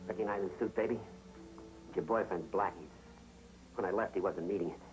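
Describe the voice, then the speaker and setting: An actor playing a sneering, menacing American crook in a 1950s TV crime drama. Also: male voice, sits low, fairly quick, natural voice, rough recording. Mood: smug, urgent. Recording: old TV soundtrack with music.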